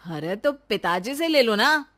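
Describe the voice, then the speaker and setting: A woman's voice: a little high, very animated, unhurried, pushed and bright, heavily acted. A woman voicing a lazy, carefree young husband in a story, casual and evasive.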